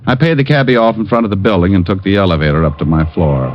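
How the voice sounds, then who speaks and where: An actor playing a tough, wry, deadpan private detective in a hard-boiled 1950s radio drama. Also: male voice, deep voice, quick pace, natural voice. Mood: wry narration. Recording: dull, faintly noisy old radio recording.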